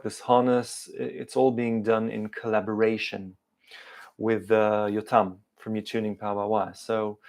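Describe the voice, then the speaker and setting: A man in his thirties with an English accent tinged with South African, chatting hesitantly with many fillers while answering viewer questions live. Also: lowish voice. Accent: English with some South African. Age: 30s. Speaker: car channel host. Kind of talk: live stream Q&A chat.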